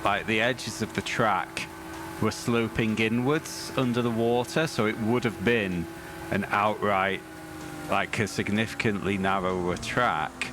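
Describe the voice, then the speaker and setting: A man streaming a racing game, with a croaky voice, chatting to his viewers in a dry, offhand way. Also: lowish voice, fairly even intonation, measured pace, noisy background. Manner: chatty, dry and offhand, talking to chat while playing. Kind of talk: casual gameplay commentary.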